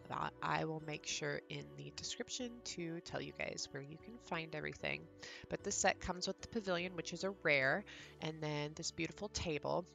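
A woman vlogger, congested and raspy from just waking up, chatting enthusiastically through a home decor showcase with ums and throat clearing. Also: low-pitched, a bit bright. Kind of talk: casual product showcase narration.

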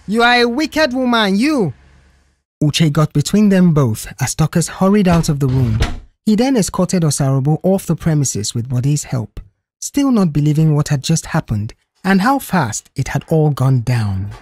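A woman's voice: sits low, sing-song, moderate pace, mellow, a little hiss or hum. A woman narrating a dramatized audio story, reading scripted prose.

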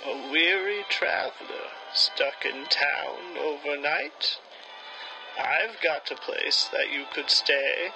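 Man acting a slow, grandiose small-town innkeeper. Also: fairly high pitch, creaky, low-quality recording. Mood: eager selling. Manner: grandiose, drawn-out salesman delivery. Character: pushy, proud boarding house proprietor in a small town. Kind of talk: old-time radio drama acting. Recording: music behind the voice.

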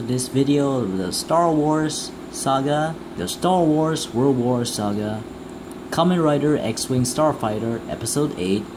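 A man reading a homemade trailer announcement, halting, with slips and restarts. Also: medium pitch, background noise. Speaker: fan trailer narrator. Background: trailer music.

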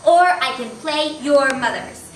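Peppy woman on a hall stage, high voice, recorded from far back. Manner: peppy, unhurried, lots of ums. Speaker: actor auditioning. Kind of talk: casual self-introduction on stage. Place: hall stage with seated audience. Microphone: far camera. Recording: echoey hall, noisy, far off.

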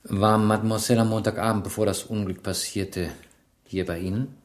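A man plays a calm detective inspector in a radio drama. His voice is on the low side, and he is courteous, measured and quietly inquisitive. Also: lightly acted. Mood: probing. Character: calm, probing male police inspector. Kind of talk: German radio play acting.

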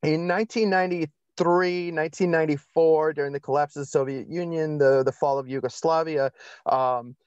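A man's voice: a little high, steady tone, normal speed, darkish tone, smooth, no echo. Man talking on dull, lo-fi audio. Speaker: podcast guest. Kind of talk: conversational interview storytelling.

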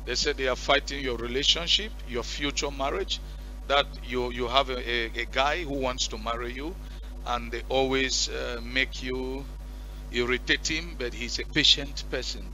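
Man pastor, bright commanding voice. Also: medium pitch, steady tone, moderate pace, faint music behind, rough recording. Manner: commanding, shouting at spirits. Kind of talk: deliverance prayer and counseling. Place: church stage with curtain backdrop. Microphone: headset mic.

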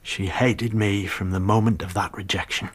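A man's voice: on the low side, fairly even intonation, normal speed, husky. An actor in a radio drama playing a bitter, jealous, hard-drinking husband confessing to murder, brooding as he tells it. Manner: brooding, confessional storytelling that breaks into confrontation.

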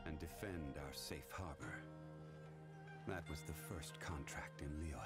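Very deep-voiced, solemn ancient god. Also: male voice. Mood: solemn, resolute. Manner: stately, measured, grave. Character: calm, solemn, ancient male god of contracts. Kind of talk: scripted game trailer monologue. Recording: game trailer under loud music.

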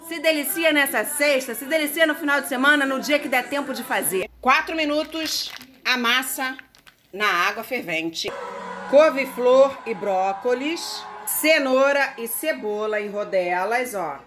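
A fairly high-voiced woman in her forties from Brazil, talking straight to her viewers as she cooks. She sounds close to a phone held near her face in a home kitchen. Food sizzles in the pan and music plays clearly under her voice. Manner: chatty, talks straight to viewers. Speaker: home cooking vlogger. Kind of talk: casual cooking vlog.

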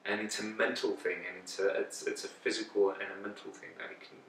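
A man's voice: lowish voice, little pitch movement, fast talker, fairly bright tone, a little hiss or hum. A man in his thirties whose first language is Greek, an actor talking thoughtfully in an interview while seated indoors, often restarting his sentences. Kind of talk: casual interview talk. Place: indoor room, seated on a sofa. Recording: roomy, slightly distant sound.

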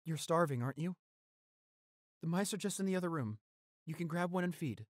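A young man acting an anxious, devoted boyfriend in a roleplay audio, halting and stammering as he pleads. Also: on the high side, animated, no room sound, clean studio sound, lightly acted. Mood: tender, pleading.

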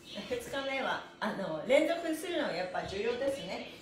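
A middle-aged woman with a high, bright-spirited voice leads a stretching class. She is cheerful and encouraging, with a sing-song delivery. The room adds a clear echo around her words. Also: very animated, moderate pace. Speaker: stretching instructor and livestream host. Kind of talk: exercise class livestream, chatty instruction with counting chants. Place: small bare-walled indoor studio room. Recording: roomy echo, mic a little away.

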